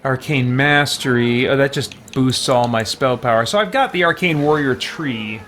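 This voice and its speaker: A man hosting a game stream, casually commenting on play and answering chat in a musing, halting way as he thinks aloud. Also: medium pitch, a bit bright, a little hiss or hum. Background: music.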